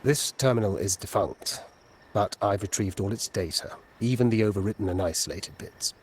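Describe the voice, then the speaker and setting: An actor playing a self-assured tech expert in a video game, explaining things in a matter-of-fact way. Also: male voice, on the low side, moderate pace, lightly acted. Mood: confident. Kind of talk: dramatic video game voice acting. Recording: noisy, night insect ambience behind.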